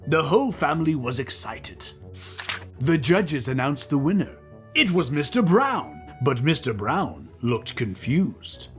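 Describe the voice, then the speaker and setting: A man reads a picture book aloud with a lively, swooping delivery. His voice sits a little high, and he is playful and expressive, with soft music behind him. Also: a little slow. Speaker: children's book reader and comedy co-host. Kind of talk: animated read-aloud narration with playful banter.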